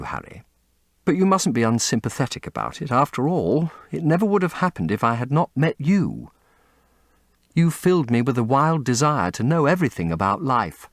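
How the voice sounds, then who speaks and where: Audiobook role, male reader: impressionable young upper-class Englishman, earnest and florid, highly animated pitch. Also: no echo, clean studio sound. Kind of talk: audiobook character reading.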